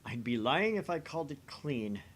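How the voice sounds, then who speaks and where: A man's voice: mid-range voice, very animated, normal speed, a bit bright, breathy edge, voice pushed up. A man voicing a theatrical, grumbling young mad scientist, sardonic and dramatic, in a visual novel read-through.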